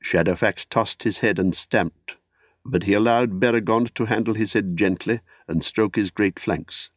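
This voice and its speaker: A man with a fairly low voice narrates a literary audiobook. His delivery is measured and made for storytelling. The sound is dry, with no audible room. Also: no echo.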